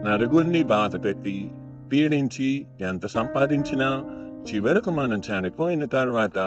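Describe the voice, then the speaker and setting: Man voicing humbled moneylender husband, moral story drama, earnest explanatory delivery, dull sound, loud guitar-like backing music. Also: mid-range voice, close to an everyday voice. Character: repentant once-greedy village moneylender husband, reflective and earnest. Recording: dull lo-fi audio under plucked-string music.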